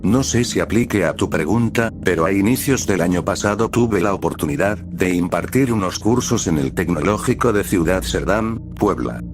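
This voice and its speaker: A man, reading first-person scary stories as a scripted narration for a horror channel. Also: on the low side.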